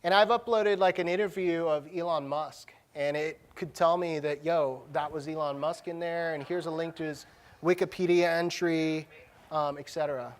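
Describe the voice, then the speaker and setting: A man's voice: on the high side, fairly even intonation, normal speed. A man presenting a live tech demo at a conference session, speaking in an informal, slangy, casual way. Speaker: conference presenter, technology director.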